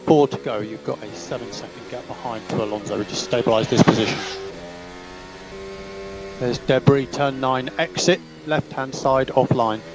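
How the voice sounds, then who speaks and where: A man's voice: medium pitch, moderate pace. A man working as a race engineer, giving clipped, procedural instructions over team radio and often repeating them. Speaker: Formula One race engineer. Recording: team radio with loud vehicle noise.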